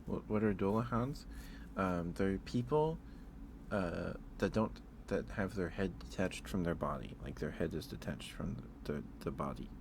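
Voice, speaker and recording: Male streamer, unhurried and very expressive, informal thinking-aloud chat over clearly audible ambient noise. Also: low voice. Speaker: livestream host. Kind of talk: casual rambling livestream chat with viewers.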